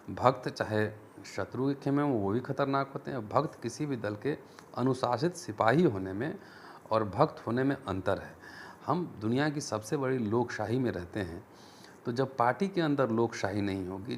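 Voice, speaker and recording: A man giving an earnest, deliberate explanatory talk as a teacher and channel host, mixing in English terms. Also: lowish voice, a little hiss or hum. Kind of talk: explanatory political history talk to viewers.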